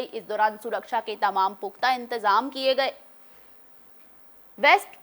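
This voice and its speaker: A woman with a fairly high voice works as a news anchor. She reads scripted reports in a formal bulletin style, as narration for a news broadcast. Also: fairly bright tone.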